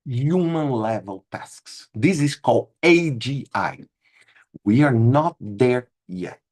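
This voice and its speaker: Husky-voiced man, emphatic webinar host. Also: medium pitch, darkish tone. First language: Portuguese. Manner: emphatic, repeats words, says "okay?" a lot. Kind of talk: informal webinar talk answering chat questions. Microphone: video call.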